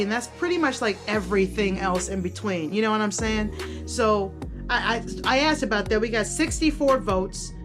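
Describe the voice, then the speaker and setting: A woman chatting casually to her viewers as a vlogger, candid, self-deprecating and rambling, with slangy language and casual swearing. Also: medium pitch. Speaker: video blogger and content creator. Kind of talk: casual vlog chat to viewers.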